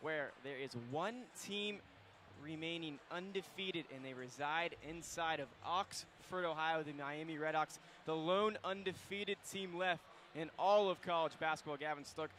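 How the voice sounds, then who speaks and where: A young man hosting a college basketball pregame show on student radio, courtside in a packed arena, talking excitedly and rambling with fillers. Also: on the high side, a little slow. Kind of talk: live basketball pregame talk. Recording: faint arena noise behind.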